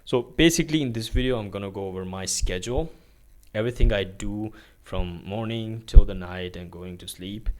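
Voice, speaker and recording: A young man from Pakistan talks through his daily routine in a loose, rambling vlog, leaning on fillers and restarts. His voice sits a little low. He is recording in his bedroom on a phone set up on a tripod. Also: normal speed, darkish tone. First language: Urdu is his country's language, but not his first language. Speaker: vlogger. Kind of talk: casual day-in-the-life vlog.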